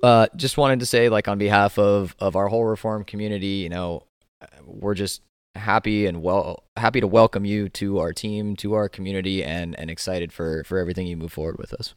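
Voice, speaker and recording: Deep-voiced man hosting a casual chat. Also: steady tone, brisk, clear, resonant tone, no echo, studio quality. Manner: rambling, many restarts and fillers. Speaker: podcast host, gym owner.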